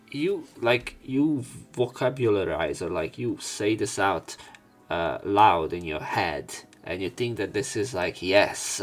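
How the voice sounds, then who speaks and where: A man reading a visual novel aloud for a let's play, breaking in with casual, sardonic commentary. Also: low voice. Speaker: let's player.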